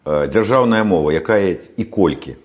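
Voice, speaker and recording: A man from Belarus conducts an interview, firing off short, teasing questions. His voice sits very low. His pitch still moves around a great deal from phrase to phrase. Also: animated, normal speed. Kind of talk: casual interview.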